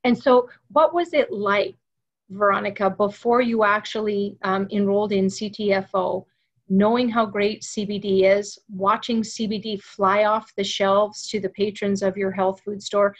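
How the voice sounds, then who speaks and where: A woman's voice: medium pitch, moderate pace, low-quality recording. Enthusiastic woman hosting a webcam webinar. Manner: enthusiastic, animated storytelling. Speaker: webinar host. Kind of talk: upbeat sales interview. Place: home office with bookshelf. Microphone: webcam video call.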